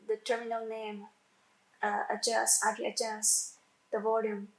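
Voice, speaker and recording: A woman who speaks English as a second language, giving a halting step-by-step software tutorial with repeats and self-corrections. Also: a little high, completely flat delivery. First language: not English. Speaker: product tutorial presenter. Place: indoor room, demonstrating at a computer screen. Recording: echoey room sound.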